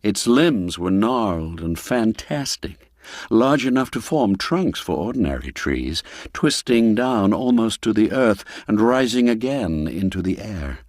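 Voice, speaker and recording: A man narrating a literary tale in a theatrical, expressive and unhurried storytelling manner. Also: lowish voice, dry recording, studio quality.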